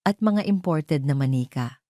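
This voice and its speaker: A woman's voice: low-pitched, animated, unhurried, mellow, no room sound, studio quality. A woman narrating a scripted romance story.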